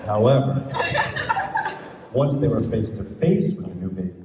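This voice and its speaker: A man's voice: fairly low pitch, animated, moderate pace, warm tone. A man giving a humorous scripted wedding speech, read aloud like a storybook. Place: large reverberant event room at a wedding. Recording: very echoey, noisy hall from far off.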